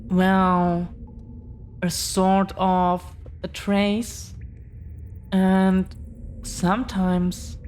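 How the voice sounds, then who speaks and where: A woman playing a tender, devoted dragon-descended girlfriend in an intimate ASMR roleplay, speaking earnestly and haltingly with pauses. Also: on the low side, slight background noise. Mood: shy, confessing.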